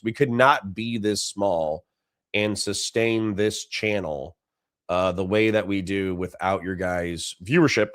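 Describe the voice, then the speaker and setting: A man's voice: fairly low pitch, no echo. Dry-voiced middle-aged male streamer. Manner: dry, sincere, rambling with many restarts. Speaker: livestream host. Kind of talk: casual livestream chat reading donations.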